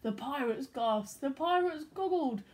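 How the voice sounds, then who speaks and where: A young woman with a high, very lively voice tells a children's story. She takes her time, moving from hushed phrasing to excited emphasis as a storyteller would. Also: measured pace. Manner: expressive, dropping into hushed whispers and rising to excited delivery. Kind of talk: animated picture-book read-aloud. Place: small domestic room indoors.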